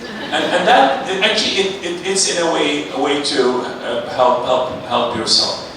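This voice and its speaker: A middle-aged male panellist giving a seminar talk, animated and coaxing the audience along. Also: a little high, a little slow. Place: large seminar hall. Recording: echoey hall, distant mic, noisy.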